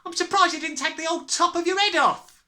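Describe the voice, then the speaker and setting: A woman voicing a shrill, scornful middle-aged British mother who scolds and nags in a storybook. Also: a little fast, bright, forceful tone. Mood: scolding. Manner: shrill, exclamatory, nagging.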